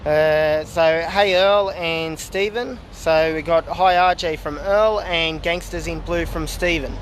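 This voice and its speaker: A man's voice: on the high side, fairly even intonation, slow pace, slight background noise, rough recording. Rambling man streaming outdoors. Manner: rambling, many fillers and asides. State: losing his voice. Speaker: political vlogger. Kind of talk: casual livestream talk to viewers. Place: outdoors in a public town area.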